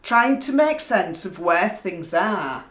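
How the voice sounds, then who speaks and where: Actress as slow, probing woman interrogator. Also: medium pitch, very animated, a bit bright. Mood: analytical, insistent. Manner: deliberate, pointed, challenging. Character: probing, analytical female questioner challenging an obsessed man. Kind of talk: radio play acting. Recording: roomy, echoing voice recording.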